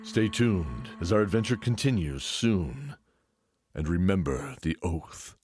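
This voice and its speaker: A man's voice: sits low, expressive, a little slow. A man narrating a scripted fantasy adventure in a dramatic storytelling style. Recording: loud music under voice. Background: music.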